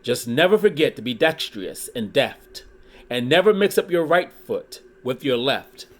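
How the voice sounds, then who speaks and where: A man reading a rhyming children's book aloud in an expressive, deliberate way, pausing dramatically for emphasis. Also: mid-range voice. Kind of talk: expressive storybook read-aloud in rhyme.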